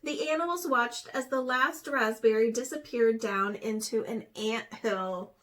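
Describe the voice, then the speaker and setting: A woman, a teacher, reading a children's storybook aloud in an expressive way. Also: a little high, a little slow.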